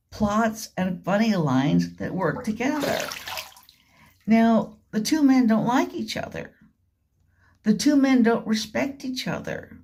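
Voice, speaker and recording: A woman giving a rambling, informal spoken commentary, halting and repetitive, leaning on words for emphasis. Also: medium pitch, a little mellow, a little hiss or hum. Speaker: vlogger. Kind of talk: rambling informal monologue retelling and commenting on a radio drama.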